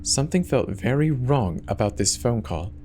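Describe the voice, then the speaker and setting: A man's voice: medium pitch, expressive, moderate pace, darkish tone, slight background noise. A man giving a dramatic scripted narration of a horror story.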